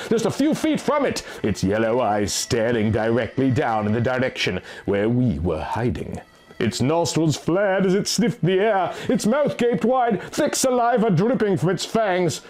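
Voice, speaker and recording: A man's voice: fairly high pitch, very animated, normal speed, darkish tone. A man reading a fantasy story aloud as dramatic, theatrical scripted narration. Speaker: audiobook reader.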